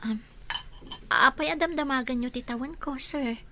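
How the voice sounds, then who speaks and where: A woman's voice: high voice, moderate pace, pushed and bright, slight background noise. A woman with an Ilocano Filipino accent playing a young, respectful, earnest housemaid in a radio drama.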